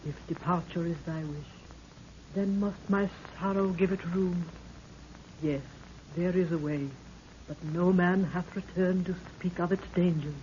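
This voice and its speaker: A woman's voice: lowish voice, steady tone, a little slow, mellow, close to an everyday voice. An actress playing the grave, stately queen of a lost ancient kingdom, speaking formal archaic English in an old radio drama. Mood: grave, foreboding. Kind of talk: 1930s radio drama acting. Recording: muffled, noisy 1930s radio recording.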